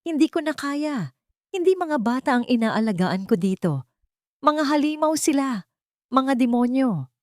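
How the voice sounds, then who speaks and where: A woman's voice: sing-song, a little slow, long pauses, a little mellow, dry recording, studio quality. A woman voicing a frightened, hysterical nanny who pleads to quit, in an audiobook drama. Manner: shrill, pleading, near breaking.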